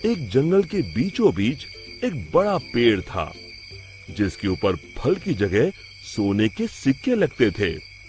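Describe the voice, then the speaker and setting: A man's voice: medium pitch, wildly swooping pitch, takes it slowly, a little mellow, soft background music. A man narrating a scripted moral story for a cartoon as storyteller.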